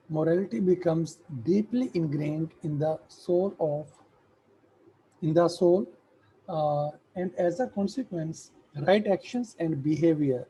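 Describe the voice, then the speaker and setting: A middle-aged man, an Urdu speaker, giving an academic lecture in a slow, measured way with hesitant fillers. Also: high voice, mellow, slightly rough, low-quality recording.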